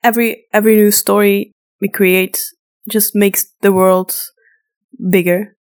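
A woman's voice: medium pitch, level tone, unhurried, clean tone. A woman co-hosting a podcast in casual, unscripted chat, chiming in to add to the points being made. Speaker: podcast co-host.

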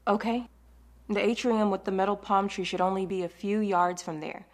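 A woman's voice: medium pitch, steady tone, moderate pace, lightly acted. A woman playing a practical, matter-of-fact leader of zombie survivors in an amateur audio drama. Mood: taking charge.

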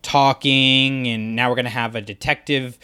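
A man co-hosting a relaxed discussion podcast. He thinks out loud, wandering through his points with plenty of fillers and restarts. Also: medium pitch, normal speed, smooth. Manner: thoughtful, rambling with fillers and restarts. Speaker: podcast co-host.

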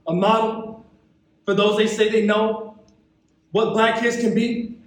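A young man with an American accent performing a theatrical stage monologue as an embittered, wounded young man, impassioned and colloquial, with dramatic pauses. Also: sits high, little pitch movement. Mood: indignant. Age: young adult. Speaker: actor in a monologue competition. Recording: echoey hall, recorded from far off.

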